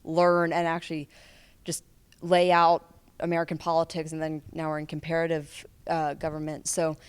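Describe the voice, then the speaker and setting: A woman's voice: low voice, monotone, moderate pace, smooth. A young woman in her late teens, a student actor answering casually and earnestly in an interview.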